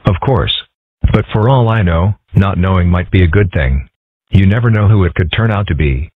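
A young cartoon animal boy voiced by a man, earnest and deadpan, with a surprisingly low, husky voice. The sound is close and dry but thin and phone-like. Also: normal speed. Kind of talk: scripted comic-strip dub. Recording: dry, muffled phone-like audio.

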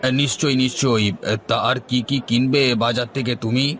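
A man's voice: medium pitch, flat delivery, a little fast, a bit bright, slight background noise, close to an everyday voice. A man voicing a friendly, teasing village fish seller in a cartoon, with a jovial, avuncular way of asking things. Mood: friendly, curious. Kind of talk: animated cartoon storytelling dialogue.

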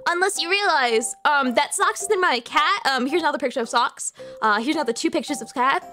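A woman's voice: high-pitched, a little fast, bright, forceful tone. A woman in her twenties giving a playful, attention-seeking stream self-introduction. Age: 20s. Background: faint music.

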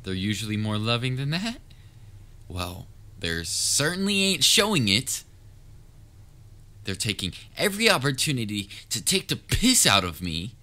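A man's voice: mid-range voice, big pitch swings, unhurried, sharp tone. A man playing a patient, sometimes exasperated but caring young babysitter in a roleplay audio drama, talking in a casual, coaxing way. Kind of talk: roleplay audio drama, speaking directly to listener.

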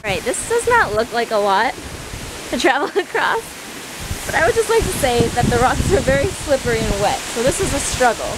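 A woman's voice: high voice, very animated, normal speed, heavy background noise. A young woman chatting and laughing as she films herself on a foggy hike, talking to friends off camera. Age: young adult. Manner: chatty, giggly, self-narrating. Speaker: vlogger. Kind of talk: casual travel vlog. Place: outdoors on a foggy mountain hike, later a pub and car. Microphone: handheld camera held at arm's length.

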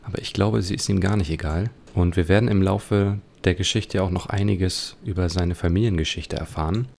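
A man's voice: sits low, steady tone, brisk, vocal fry, darkish tone, slight background noise. A man hosting a let's play with casual, musing commentary and wry understatement. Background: game music.

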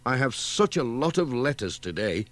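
A man's voice: mid-range voice, very animated, normal speed, close to an everyday voice. A man voicing a friendly, good-natured village postman in a cheerful, chatty way for a storybook.

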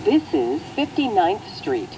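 An American woman reading scripted transit announcements in a measured, formal manner. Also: medium pitch, huge pitch swings, background noise.

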